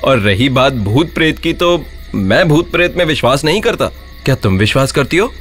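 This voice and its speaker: A man voicing a brave, clever young village husband, polite and persuasive, in an animated story. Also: mid-range voice, normal speed, faint music behind. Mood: reassuring.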